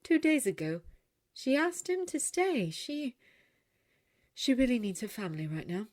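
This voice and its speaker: A woman's voice: on the high side, sing-song, a little slow, a little mellow, pitched above the performer's own voice. A woman voicing a reserved, guarded young woman in a storybook reading, speaking in short, terse lines. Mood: somber.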